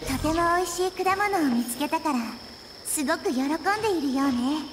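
A woman playing a gentle, wise little girl deity in an anime game, composed and kindly. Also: very high voice, lively intonation, normal speed, noisy background. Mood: gentle, thoughtful. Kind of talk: scripted anime game dialogue. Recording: music under the voice.

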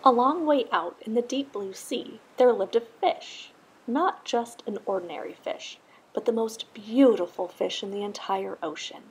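A woman narrating a children's storybook aloud in an expressive, scripted style. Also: fairly high pitch, a little slow, breathy edge, low-quality recording. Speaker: storybook reader.

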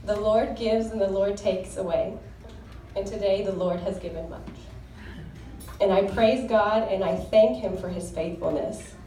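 A woman's voice: mid-range voice, dark tone, a little hiss or hum. A young woman giving an earnest, heartfelt wedding toast as the groom's sister, reading scripture in a devout tone. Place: wedding reception hall. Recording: echoey hall recorded from far off.

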